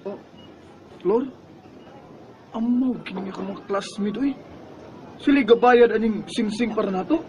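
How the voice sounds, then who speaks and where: An actor playing a friendly, awkward teenage schoolboy in a radio drama, with a hesitant manner and a Cebuano accent. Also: male voice, sits high, a little slow, pauses often, slight background noise, rough recording. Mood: flustered, persuading. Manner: hesitant, boyish. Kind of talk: Bisaya radio drama acting.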